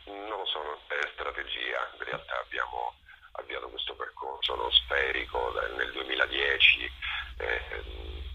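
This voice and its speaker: A man being interviewed as a theatre artist, speaking thoughtfully and hesitantly, often pausing to search for words. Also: very deep voice, vocal fry, bright, forceful tone, slightly rough, highs cut off. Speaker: theatre actor-director, interview guest.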